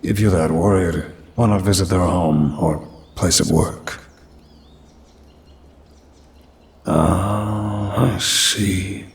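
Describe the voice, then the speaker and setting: A man's voice: low-pitched, fairly even intonation, takes it slowly, creaky, exaggerated voice. A man voicing a centuries-old demon in an ASMR audio roleplay, formal and pompous, grumbling dryly yet caring. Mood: curious, dry. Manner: formal, pompous, dry complaining.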